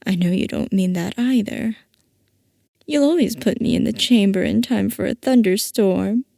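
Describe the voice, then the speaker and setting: A woman's voice: medium pitch, moderate pace, gravelly, dark tone, no room sound, studio quality. A woman playing a playful, theatrical mad-scientist villain boss in a roleplay audio, coy and mock-innocent as she teases. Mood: teasing. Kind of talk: scripted roleplay audio, ASMR-style character acting.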